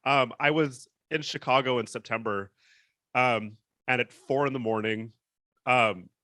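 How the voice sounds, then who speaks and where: A man's voice: mid-range voice, fairly even intonation, measured pace, sharp tone, no room sound, studio quality. A man hosting a casual interview, easygoing and upbeat, with many ums. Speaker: interviewer, host.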